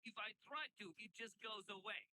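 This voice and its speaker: A gruff, boastful mock-Russian braggart, as a man puts him on with a thick put-on Russian accent. He speaks in clipped, broken phrases with a frowning set to the mouth and a fairly high pitch. Steady noise sits behind him on a home streaming mic. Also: moderate pace. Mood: mock earnest.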